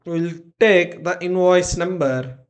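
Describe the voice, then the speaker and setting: A tutorial presenter narrating step-by-step software instructions in short, clipped commands. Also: medium pitch, takes it slowly, highs cut off.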